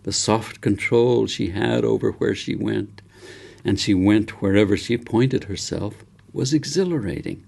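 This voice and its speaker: Low, creaky-voiced male storyteller. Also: measured pace, a little mellow. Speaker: author reading own novel. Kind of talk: audiobook narration of a story.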